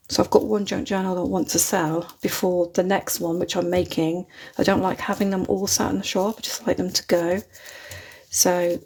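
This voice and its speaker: Chatty British woman with a gravelly voice. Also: mid-range voice, a little mellow. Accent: British, not London. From Britain. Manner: chatty, rambling, chuckles often. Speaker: craft livestream host. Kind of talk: casual chat while crafting live. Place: indoors at a craft desk. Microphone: phone mounted overhead.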